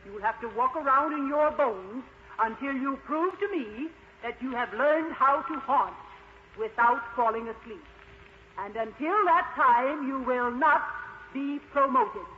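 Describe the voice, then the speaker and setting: A man voicing a strict, unforgiving female ghost schoolteacher in a storybook puppet show, speaking deliberately. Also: extremely high pitch, pitched above the performer's own voice. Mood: stern, scolding. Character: stern female ghost schoolteacher scolding a pupil. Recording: muffled lo-fi recording, faint noise.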